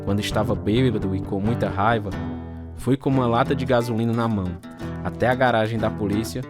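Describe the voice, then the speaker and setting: Guy acting troubled bitter alcoholic man, brazilian accent, lowish voice, radio drama story, clear guitar music behind. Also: fairly quick, natural voice. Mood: grave, reflective. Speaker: narrator and protagonist of a radio testimony drama. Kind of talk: dramatized first-person radio narration. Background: acoustic guitar music.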